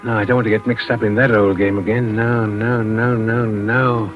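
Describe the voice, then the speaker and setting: A man's voice: on the low side, level tone, normal speed, lightly acted. An actor playing an anxious man fretting over a crime, with hesitant, repetitive muttering in vintage radio drama. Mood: nervous pondering. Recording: dull old radio recording with music behind.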